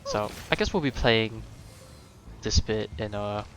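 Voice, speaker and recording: Low-voiced man casually streaming a game. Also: sing-song, unhurried. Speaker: video game live streamer. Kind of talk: casual gameplay commentary, thinking aloud. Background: video game music.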